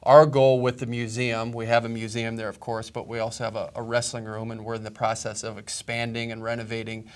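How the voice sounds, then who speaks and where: A man from Iowa, guesting on a local interview show as the head of a museum. His voice sits in a middling pitch and stays flat and even. He explains things in a steady, proud, informative way. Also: a little fast, few pauses, clear, resonant tone. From Iowa, USA. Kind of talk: casual interview.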